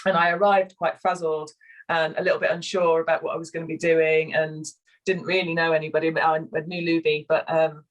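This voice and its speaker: A soft-spoken woman with a slightly croaky voice, co-facilitating a video call, guiding a relaxation and sharing with hesitant pauses and fillers. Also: deep voice, little pitch movement, rough recording. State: hoarse, croaky. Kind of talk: guided relaxation and casual sharing.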